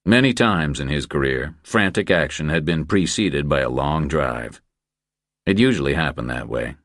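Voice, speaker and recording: A man with an extremely low voice narrates a crime thriller audiobook. His delivery is measured and deliberate, and the sound is dry and close, free of room echo. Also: animated, a bit bright.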